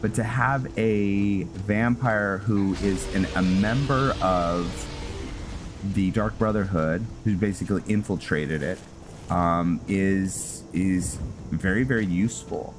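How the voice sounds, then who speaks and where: A man's voice: low voice, slow. A man giving casual gameplay commentary, rambling and hesitant as he thinks aloud. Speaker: gaming video host; roleplay story writer. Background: music.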